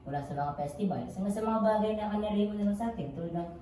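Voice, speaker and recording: A woman's voice: mid-range voice, rapid-fire, mellow, a little hiss or hum, close to an everyday voice. A woman playing a helpful student in a school stage play, explaining plainly. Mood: explanatory. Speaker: actor in a school one-act play. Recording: very echoey hall, distant mic.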